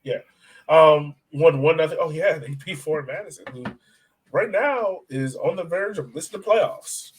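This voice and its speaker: A man with a fairly high-pitched voice hosts a sports podcast. He talks casually and loosely, feeling his way through his thoughts as he goes. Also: normal speed, slight background noise, poor audio. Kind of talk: casual soccer discussion.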